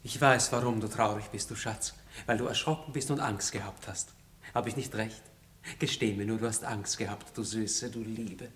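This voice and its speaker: A man's voice: fairly low pitch, fairly even intonation, moderate pace, fairly bright tone, slight background noise, close to an everyday voice. An actor playing a young nobleman pleading with his older lover in a radio play. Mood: ardent. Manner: pleading, heartfelt. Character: young male noble lover, ardent and devoted. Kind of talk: 1960s radio play acting.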